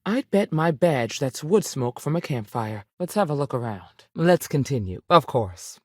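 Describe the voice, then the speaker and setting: A woman's voice: extremely low pitch, very animated, measured pace, darkish tone, dry recording, studio quality, heavily acted. A woman playing a strict, principled frontier ranger in a video game, plain-spoken and authoritative with a folksy turn. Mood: steady, firm. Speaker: video game character actor. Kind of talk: scripted game dialogue.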